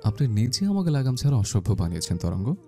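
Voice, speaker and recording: Man playing a very dark-voiced romantic officer husband. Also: fairly low pitch, big pitch swings, quick pace, faint music behind. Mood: earnest, smitten. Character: romantic, possessive young army officer husband, teasing and intense. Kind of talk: romantic audio story acting.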